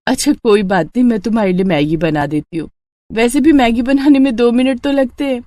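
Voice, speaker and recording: A woman acts a young daughter-in-law in a cartoon family tale. She is courteous yet privately grumbling. The voice is fairly high and closely recorded without room sound. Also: fairly quick, dark tone, no room sound, lightly acted. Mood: polite, concerned. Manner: polite aloud, grumbling inwardly. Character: young new bride, polite but secretly exasperated daughter-in-law.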